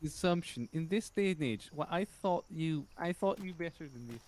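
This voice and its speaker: A man's voice: fairly high pitch, expressive, moderate pace, darkish tone. A man roleplaying in character with a put-on accent that others hear as Scottish, stammering and acting offended. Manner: stammering, mock-offended, insists he is French.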